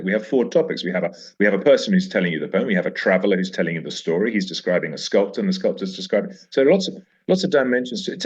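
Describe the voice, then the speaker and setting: Male podcast guest, middle-aged, very low voice, emphatic and conversational about literature, slightly muffled lo-fi sound. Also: husky. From South Africa. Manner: emphatic, with repeated phrases and rhetorical questions. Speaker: podcast guest discussing a poem. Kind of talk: animated conversational literary discussion.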